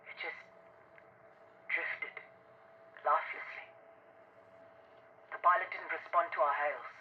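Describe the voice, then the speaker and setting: A man acting a bitter, cornered project insider confessing grimly in a sci-fi game audio log, through a radio-like filter. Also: a little high. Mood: haunted. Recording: thin phone-like band, faint noise.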